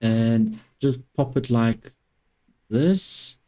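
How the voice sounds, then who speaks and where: Man, Afrikaans speaker, unhurried, mid pitch, casual game commentary. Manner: relaxed, talking to himself while playing. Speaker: gaming YouTuber.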